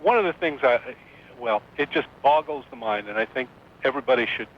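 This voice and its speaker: A man calling in to a radio show, discussing politics in formal, articulate wording with hesitant pauses. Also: lively intonation. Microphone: phone. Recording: noisy dull call-in line, low rumble.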